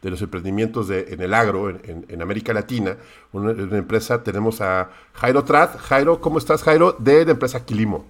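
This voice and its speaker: A man's voice: on the low side, fairly quick. A man hosting a podcast, introducing a guest with many restarts and hesitations. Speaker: podcast host. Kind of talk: podcast interview.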